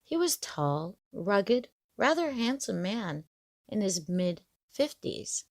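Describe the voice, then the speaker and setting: A woman narrating a scripted story in the first person, in a measured, expressive storytelling manner. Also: mid-range voice, no echo, clean studio sound.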